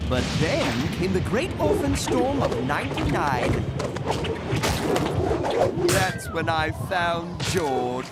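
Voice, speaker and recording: A performer voicing a wise, fretful ape mentor in a cartoon, telling things in a dramatic, articulate way. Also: mid-range voice. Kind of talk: animated cartoon voice acting. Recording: loud music behind the voice.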